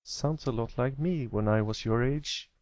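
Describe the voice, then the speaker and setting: A man's voice: medium pitch, measured pace, darkish tone, no room sound, lightly acted. Man as an easygoing big-brother pony. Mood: reminiscing. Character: kindly older brother stallion pony, easygoing, encouraging.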